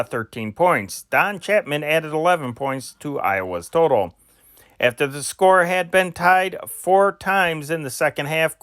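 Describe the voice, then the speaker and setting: A man with a fairly high, bright and forceful voice reads vintage sports news dispatches. His delivery is deliberate and announcer-like, with an occasional stumble. The sound is close and dry. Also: clear, resonant tone, no room sound. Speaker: amateur news reader, channel host. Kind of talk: reading old newspaper sports dispatches aloud.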